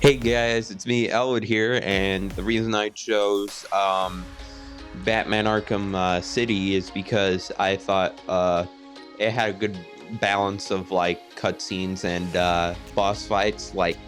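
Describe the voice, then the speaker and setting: A man talking casually as a gaming podcast guest, with a halting, hesitant delivery. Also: deep voice, little pitch movement, fairly bright tone, faint music behind.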